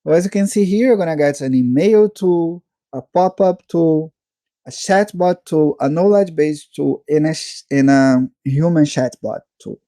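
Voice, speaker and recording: Mellow-voiced man walking through software. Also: a little high, unhurried, no echo, studio quality. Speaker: software reviewer, channel host. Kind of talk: casual screen-share product walkthrough.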